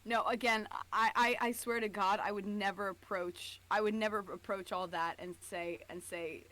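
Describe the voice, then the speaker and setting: A woman's voice: medium pitch, fairly even intonation, normal speed, sharp tone, rough recording. A woman streamer in casual chat, playful and emphatic, often repeating her phrases. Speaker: streamer co-reading fan fiction.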